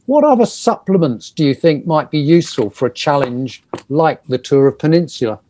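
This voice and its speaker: A man hosting a video call chat, speaking in a measured way with some fillers. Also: a little high, expressive, warm tone. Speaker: host.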